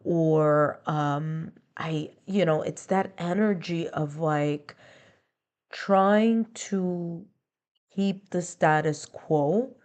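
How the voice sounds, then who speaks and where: A woman's voice: low voice, extremely slow, darkish tone. Stuffy-nosed woman giving a tarot reading. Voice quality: stuffy nasal sound. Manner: explanatory, repeats points with strings of near-synonyms. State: stuffy, congested from being under the weather. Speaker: tarot reader. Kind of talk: conversational tarot and astrology reading.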